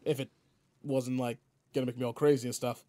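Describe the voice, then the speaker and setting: A man's voice: medium pitch, level tone, fairly quick, dark tone, close to an everyday voice. A man playing a seasoned but laid-back space guardian mentor in a video game roleplay, casual, slangy and wry as he talks to a partner. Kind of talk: video game roleplay audio, in-character monologue.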